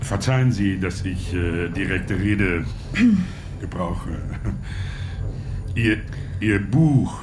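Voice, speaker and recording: A hesitant, easily rattled man in a radio play speaks with stiff politeness. His low voice swings widely in pitch, over clearly noisy ambience. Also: expressive, drawn-out words, pauses often, full character voice. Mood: awkward, polite. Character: awkward, intrusive male passenger, hesitant and easily rattled. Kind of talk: German radio drama acting.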